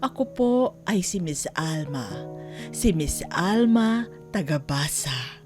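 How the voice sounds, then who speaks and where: A woman's voice: deep voice, animated, takes it slowly, a little mellow, faint music behind. A woman playing a sincere Filipino letter reader, narrating an audio drama. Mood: sincere, measured.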